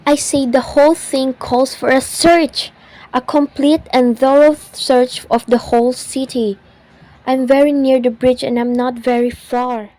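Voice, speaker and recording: A fretful, self-pitying housewife played by a woman in a radio thriller. Her voice sits high and she speaks slowly, with an insistent, haughty edge, as someone used to being waited on. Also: steady tone, dark tone, low-quality recording. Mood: indignant, insistent. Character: anxious, demanding, self-pitying invalid housewife phoning the police. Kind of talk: old-time radio thriller acting.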